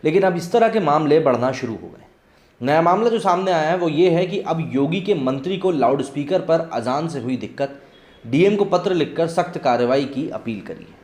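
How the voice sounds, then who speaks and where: A man's voice: fairly high pitch, expressive, normal speed, a little mellow. A man presenting news with his own commentary, explaining things earnestly and directly to viewers. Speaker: host of a news commentary channel. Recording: echoey, reverberant room.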